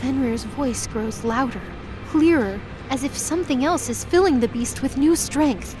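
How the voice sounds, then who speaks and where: A woman's voice: high voice, normal speed, background noise. A woman reading scripted, dramatic story narration for an audio drama.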